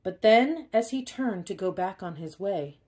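Unhurried woman reading a picture book. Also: mid-range voice, breathy edge. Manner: expressive, emphatic on key words. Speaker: storybook reader. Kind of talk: expressive children's picture-book read-aloud.

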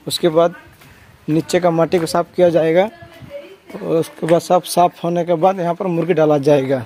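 A casual vlogger talks at a middling pitch. The explanations are plain and often repeat themselves. The phone recording from an open shed carries light noise. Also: darkish tone. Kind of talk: casual vlog narration, talking to viewers and a child. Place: large open-sided empty poultry shed. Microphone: handheld phone camera, operator behind it.

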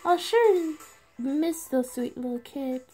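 Woman as a friendly high-voiced cartoon cat. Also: expressive, slow, darkish tone. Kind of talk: amateur comic dub. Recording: background music under the voice.

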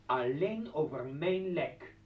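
A skeptical, unyielding man in a radio drama. His slightly high voice comes from a distance in an echoey space, on a dull old recording. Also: animated, slow. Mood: dry, firm. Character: opposed, skeptical man standing his ground. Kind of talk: dramatic radio play acting.

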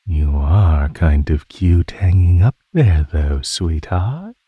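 A man voicing a predatory male naga in an ASMR roleplay, husky and breathy, teasing his prey in a mockingly sweet drawl. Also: low voice, expressive, slow, no room sound, highs cut off, exaggerated voice. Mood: amused teasing. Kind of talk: ASMR roleplay, scripted monologue.

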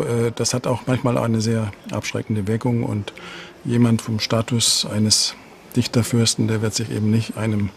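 A man's voice: lowish voice, gravelly, slight background noise. A man answering as an interviewed expert, thoughtful and hesitant with fillers.

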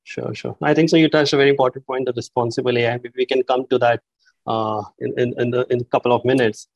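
A man moderating a panel over a video call. He puts polite, hedged follow-up questions to the panelists at a medium pitch. The audio is dry and dull. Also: fairly even intonation, quick pace, dry recording. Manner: polite, hedging follow-ups.

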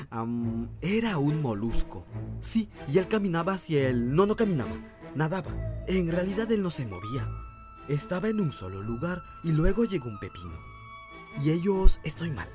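An anxious clownfish father from a cartoon , voiced by a man, fussy and overprotective. His delivery is nervous and swings widely in pitch, with music clearly behind him. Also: big pitch swings, moderate pace. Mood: flustered, awkward. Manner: fussy, nervous, stammering when flustered. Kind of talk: amateur animated film dub.

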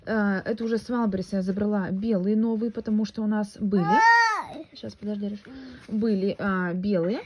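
A woman's voice: mid-range voice, muffled recording, a little hiss or hum. A young woman chatting casually into her phone at home, rambling with lots of fillers and restarts. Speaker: vlogger, mother. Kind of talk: casual home vlog chat. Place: room at home, indoors. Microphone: phone at arm's length.

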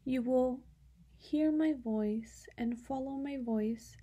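Very slow, breathy, soft-voiced woman. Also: high voice. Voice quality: soft, breathy, often dropping to a whisper. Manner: calm, unhurried instruction with long pauses. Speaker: guided meditation instructor. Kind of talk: slow guided meditation.

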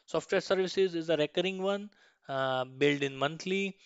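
A man presents an instructional slide tutorial in a fairly high, smooth voice. He explains point by point and often adds little checking tags. The sound is close and dry, though slightly dull. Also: normal speed, no echo. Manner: instructional, frequent checking tags like "right?" and "okay".

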